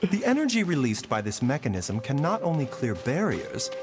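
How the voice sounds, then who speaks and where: An actor plays a composed, learned man in a video game. He reasons things through in a measured way, and his pitch moves lively. Soft music sits behind him. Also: close to an everyday voice. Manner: measured, explanatory.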